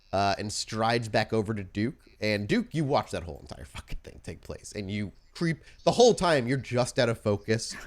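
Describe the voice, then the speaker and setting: A man working as game master, improvising descriptive, informal narration for a tabletop roleplaying game. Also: lowish voice.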